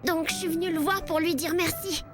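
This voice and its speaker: A woman's voice: very high-pitched, steady tone, brisk, music in the background. A woman voicing a sweet, hesitant, naive child in a French anime fan dub. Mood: grateful.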